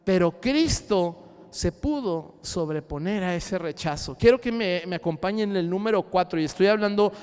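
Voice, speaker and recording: High-voiced preacher in a big echoing hall. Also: male voice, lively intonation, moderate pace, clean tone. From Mexico. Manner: swings between loud rising cries and hushed low asides. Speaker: pastor. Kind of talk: sermon. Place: church sanctuary.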